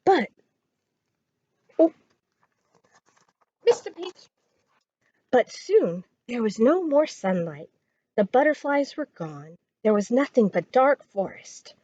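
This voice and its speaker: A woman reading a children's story aloud as a storytime reader, expressive and dramatic. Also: on the high side, slow pace, pauses often, no echo, muffled recording.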